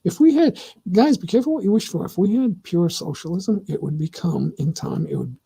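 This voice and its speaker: A middle-aged man hosting his own livestream, talking casually and answering chat in a rambling, opinionated way with many halting restarts. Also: high-pitched, animated, gravelly, dark tone, breathy edge. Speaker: livestream host.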